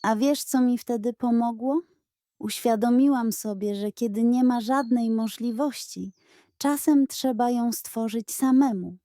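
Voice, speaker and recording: A woman voicing a kindly, gentle female duck who runs a café in a children's story. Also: a little high, a little slow, no echo, clean studio sound, close to an everyday voice. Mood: encouraging. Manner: gentle, encouraging.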